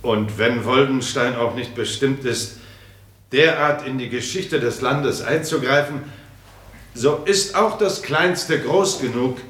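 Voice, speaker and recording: Pompous small-town mayor speechifying, slow n forceful, big echoey room, radio drama. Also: male voice, mid-range voice. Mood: solemn, patriotic. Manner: pompous, declamatory oratory. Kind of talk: formal speech in a radio play. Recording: echoey, roomy speech acoustic.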